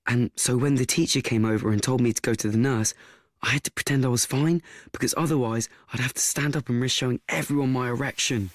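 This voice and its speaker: A man's voice: mid-range voice, steady tone, fast talker, no room sound, clean studio sound, lightly acted. An actor playing an anxious, awkward schoolboy who narrates his woes in a rambling radio play monologue, correcting and repeating himself. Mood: rueful confiding. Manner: rambling, repetitive, self-correcting.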